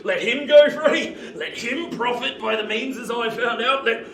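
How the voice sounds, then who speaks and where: A vengeful escaped convict in a radio drama, rough and desperate. The voice is high and tense, defiant in every line. It sits in a roomy, slightly noisy recording. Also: male voice, a little slow, heavily acted. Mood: furious, defiant. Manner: forceful, vehement. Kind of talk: radio play acting. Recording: roomy, distant, faint noise.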